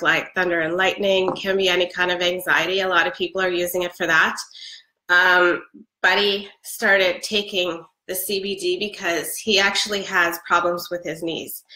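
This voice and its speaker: A woman's voice: lowish voice, sharp tone. A woman in her forties with a head cold, chatting casually on a live stream from her living room, upbeat with plenty of ums. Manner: chatty, upbeat, many ums, laughs often. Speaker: product seller. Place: living room with a Christmas tree. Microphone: webcam at close range. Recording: echoey room.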